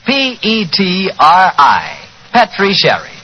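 Lively American 1940s radio announcer, muffled sound. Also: male voice, high voice, slow pace, soft background music. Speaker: radio announcer and sponsor pitchman. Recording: dull 1940s radio broadcast with hiss.

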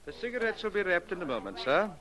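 An actor playing a polite, formal tobacco shop clerk in a 1940s radio drama. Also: male voice, high voice, sing-song, quick pace, crowd noise. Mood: helpful. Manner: deferential, formal.

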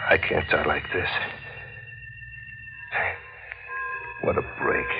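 An actor playing a plain-spoken working-class cab driver and family man in a 1940s radio drama. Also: sits low. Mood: anguished, regretful. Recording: muffled old radio broadcast.

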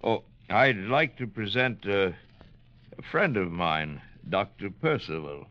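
A man's voice: on the low side, lively intonation, slow. An actor playing a worn-out, uneasy old ship captain in a radio drama, hesitant and weary in his speech. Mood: uneasy authority. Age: older. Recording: dull, band-limited vintage radio broadcast.

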